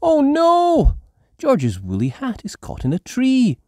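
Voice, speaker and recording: A storyteller voicing an excitable little girl piglet, bossy and cheerful, in a children's storybook. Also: sits high, slow pace, dry recording, clean studio sound, pitched above the performer's own voice, exaggerated voice. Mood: eager, concerned. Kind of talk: children's storybook read aloud.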